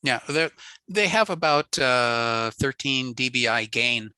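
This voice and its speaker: A man presenting ham radio networking on a video call, relaxed and answering questions with frequent fillers. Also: medium pitch, takes it slowly, clean tone, no room sound. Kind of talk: casual technical talk on a video call.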